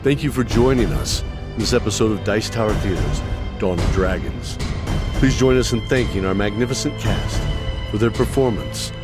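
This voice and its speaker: A man's voice: on the low side, animated, normal speed, over loud music. A man reading a scripted podcast outro as an announcer, with a formal delivery. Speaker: narrator and announcer reading credits.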